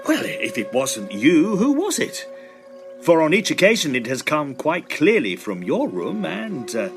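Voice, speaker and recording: A man's voice: on the high side, wildly swooping pitch, unhurried, soft background music, heavily acted. An actor playing a polite, sensible young male medical student in a period audio drama, courteous and a little hesitant. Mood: concerned, puzzled. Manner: hesitant, courteous, gently admonishing.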